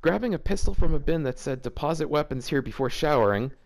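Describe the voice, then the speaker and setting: A man with a slightly high voice reads fan fiction aloud in a casual way. He breaks up the story with incredulous comments and jokes, sounding like a host among friends rather than a trained narrator. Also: animated, fast talker. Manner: incredulous asides breaking up the reading.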